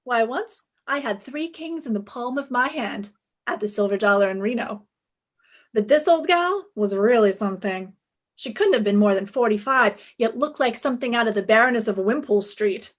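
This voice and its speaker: A woman's voice: on the high side, lively intonation, normal speed. A woman playing a brash, wisecracking young private detective in a retro radio drama, wry and self-assured. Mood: sassy.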